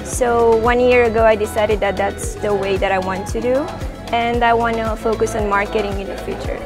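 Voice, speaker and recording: A woman's voice: fairly high pitch, fairly even intonation, normal speed, music in the background. An eighteen-year-old woman from Romania, a first-year student, talks casually about her studies with occasional fillers. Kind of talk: student testimonial.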